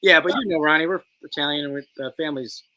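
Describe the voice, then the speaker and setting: A man's voice: a little high, fast, bright, forceful tone, low-quality recording. A young man, a cigar company co-owner, talking in an easygoing, agreeable way. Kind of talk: casual chat.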